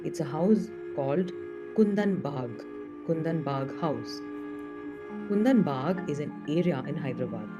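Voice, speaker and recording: Indian woman narrating over music. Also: low-pitched. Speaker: horror podcast host. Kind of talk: storytelling podcast narration. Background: music under the voice.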